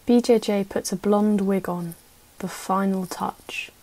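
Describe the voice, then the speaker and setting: A woman's voice: mid-range voice, steady tone, unhurried, warm tone, poor audio. A woman narrating scripted stage directions for a radio play.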